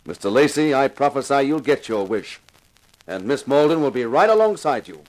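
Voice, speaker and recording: A man's voice: mid-range voice, sing-song, moderate pace, lightly acted, low-quality recording. Stern, sardonic radio detective played by a man. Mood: stern, accusing. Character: tough, commanding radio detective and show host. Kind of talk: 1950s radio crime drama acting and narration.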